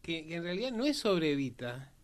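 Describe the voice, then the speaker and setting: A man's voice: a little high, sing-song, a little fast, a crowd in the background. A man with an Argentine accent explaining and telling anecdotes conversationally as a panelist on a history programme. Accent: Argentine Spanish.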